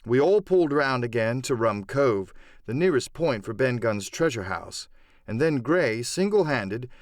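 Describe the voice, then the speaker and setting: Male narrator for adventure audiobook: dramatic literary delivery, mid-range pitch, lively melody, very dry recording. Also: dry recording. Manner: expressive, storytelling.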